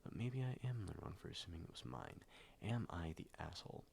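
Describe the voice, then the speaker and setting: A young man with an extremely deep, gravelly voice murmurs in a soft-spoken ASMR style, slightly nasal and stuffed up from a cold. He hums and ums through his words and often drops into a whisper, with a faint hiss underneath. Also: sing-song. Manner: soft, slow, many ums and likes, drifting into whispers. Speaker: ASMR YouTuber.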